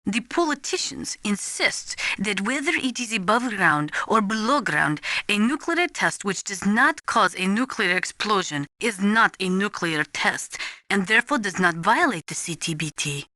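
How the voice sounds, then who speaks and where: A woman playing an earnest nuclear weapons analyst in a video game, explaining things in a measured, precise, didactic way. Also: mid-range voice, expressive, pushed and bright, dry recording, clean studio sound. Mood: measured, informative. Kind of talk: scripted expository video game voice acting.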